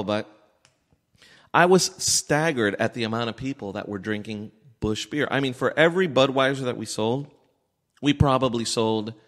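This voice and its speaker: A man's voice: medium pitch, fairly bright tone. An American man co-hosting a podcast, talking in a casual, opinionated and emphatic way. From Miami area, United States. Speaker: podcast co-host. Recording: echoey room with long reverb.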